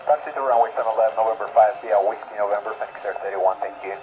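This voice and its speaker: A man's voice: fairly low pitch, quick pace, darkish tone, soft background music. A man speaking as a pilot in clipped radio phraseology over an aviation radio. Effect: radio transmission. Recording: muffled aviation radio transmission.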